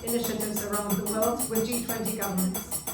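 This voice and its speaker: A woman in her thirties from Germany with a German accent gives an earnest awards-evening speech, pausing often on fillers. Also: mid-range voice, steady tone. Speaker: award speaker. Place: large echoey function room. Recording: echoey room, distant mic, music behind.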